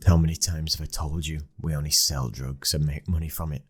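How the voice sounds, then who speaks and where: A very deep British voice from a man acting as a young mafia boss's son, controlling yet tender. He speaks in an intimate, commanding murmur, in the style of an ASMR boyfriend roleplay. Faint music plays behind him. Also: fairly even intonation, a little fast, husky. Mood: menacing.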